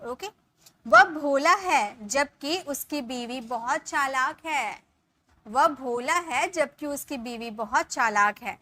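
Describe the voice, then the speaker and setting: A woman in her thirties teaching spoken English, explaining in Hindi and saying the English sentences slowly, word by word, and often checking in with her viewers. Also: high voice, sharp tone. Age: 30s. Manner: addresses viewers as guys, checks in with "ठीक है".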